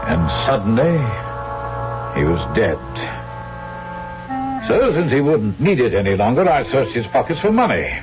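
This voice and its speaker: Genial rogue, callous and glib, lively swooping delivery; muffled vintage radio drama sound. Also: male voice, mid-range voice, unhurried, long pauses. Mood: callous, confiding. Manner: glib, chatty, falsely deferential. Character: sly, callous male swindler, genial and opportunistic. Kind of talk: 1970s radio drama acting. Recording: dull vintage radio recording, music behind.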